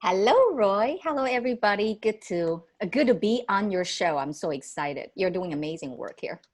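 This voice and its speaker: A woman's voice: mid-range voice, expressive, moderate pace. Young woman, native Chinese speaker, from Taiwan, chatty, laughing, restarts sentences. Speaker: guest. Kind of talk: casual interview chat.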